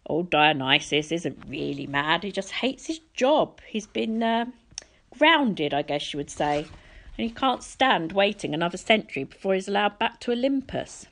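Woman reading as a calm older male mentor. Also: medium pitch, moderate pace, vocal fry. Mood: explaining.

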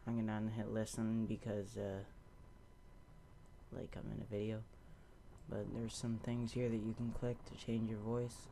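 A young man giving a casual, offhand software tutorial, unscripted, into a computer microphone. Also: fairly low pitch, completely flat delivery, measured pace, creaky. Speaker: tutorial host. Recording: noisy amateur home recording.

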